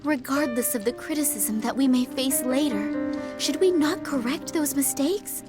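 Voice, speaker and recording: An actress playing an earnest, gentle young noblewoman in a game cutscene, speaking sincerely and politely. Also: high-pitched, moderate pace, close to an everyday voice. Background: game soundtrack music.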